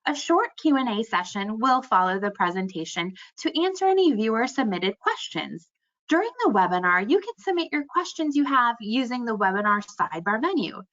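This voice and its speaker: A woman's voice: fairly high pitch, big pitch swings, a little fast, fairly bright tone, dull audio. A woman moderates a webinar and reads out polite, scripted housekeeping announcements.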